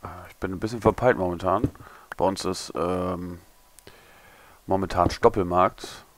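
A young man, a little hungover and hoarse, mumbling and thinking aloud as he builds in a game tutorial. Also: deep voice, fairly even intonation, a little slow. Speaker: gaming tutorial creator. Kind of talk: casual commentary while building.

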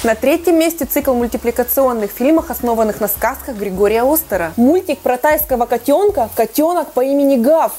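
A woman's voice: high voice, expressive, brisk, few pauses, noisy background. A woman in her twenties hosting a scripted countdown show to camera in a small studio. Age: 20s. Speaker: host. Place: small indoor studio set. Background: music.

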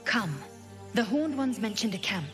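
A woman's voice: fairly high pitch, big pitch swings, unhurried, a bit bright, faint music behind. A woman playing a haughty alien warrior in a fantasy game, imperious and clipped in manner. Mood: haughty, curt. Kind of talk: cinematic fantasy game acting.

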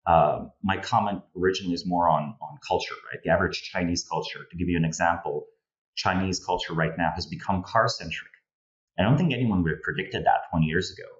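Low-voiced Slovenian-accented man, slightly dull audio. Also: brisk. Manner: discursive, explanatory. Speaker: guest political analyst. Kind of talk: conversational analysis in an interview. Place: home room with bookshelves, indoors. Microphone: earphone headset on video call.

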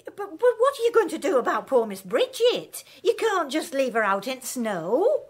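Radio play: an anxious housekeeper, high, lively pitch. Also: female voice, normal speed. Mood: flustered. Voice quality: fluttery, anxious. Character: flustered older female housekeeper. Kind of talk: radio drama acting.

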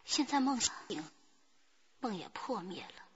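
An actress playing an embittered, defiant spurned mistress in her late twenties in a radio drama, scornful and deliberate. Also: on the high side, animated, noisy background. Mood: bitter. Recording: muffled, long hall reverb.

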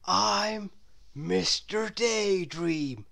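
A woman voicing a friendly, adventurous male cloud creature in a children's storybook, with drawn-out, inviting words. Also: medium pitch, gravelly, fairly bright tone, full character voice. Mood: dreamy, inviting.

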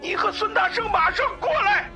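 A man voicing an urgent monk commander who shouts orders through a tinny loudspeaker in a dramatised audiobook. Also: very high-pitched, brisk, pitched above the performer's own voice. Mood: shouting orders. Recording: music playing behind the voice.

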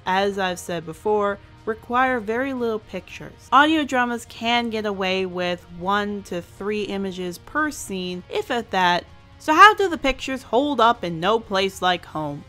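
A woman's voice: medium pitch, fairly bright tone. A woman hosting a scripted review, speaking emphatically and stretching out key words. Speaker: reviewer, show host. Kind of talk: scripted review commentary over animation. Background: music.

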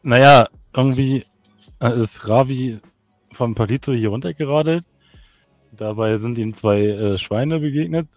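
A man banters and tells stories in character during an online roleplay game. He has a medium-pitched voice with a dry, close sound and music behind it. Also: measured pace, no room sound. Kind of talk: casual in-character storytelling and banter.

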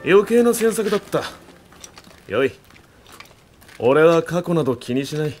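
An actor playing a stern, resolute warlord in a Japanese samurai game drama, speaking curtly and with authority. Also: male voice, fairly high pitch, very animated, unhurried, a little mellow, soft background music. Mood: calm, probing. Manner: curt, authoritative. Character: commanding warlord in his prime, stern and resolute.

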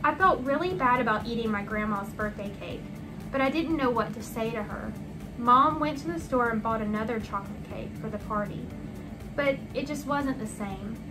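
A young adult woman reading a children's storybook aloud in an animated, deliberate way. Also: fairly high pitch, rough recording. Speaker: storybook reader. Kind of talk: expressive children's read-aloud narration. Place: small furnished room indoors. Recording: music behind the voice.

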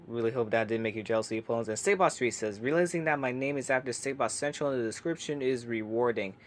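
Young man reading comments, hushed. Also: mid-range voice, quick pace, slight background noise. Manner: chatty, hesitant, many fillers and restarts; kept quiet so family can sleep. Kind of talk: casual comment reaction. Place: home laundry room.

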